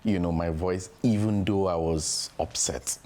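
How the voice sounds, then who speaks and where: Very deep-voiced father, chatty. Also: a little slow, mellow. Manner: conversational, frequent "you know". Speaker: guest father. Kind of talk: candid podcast conversation.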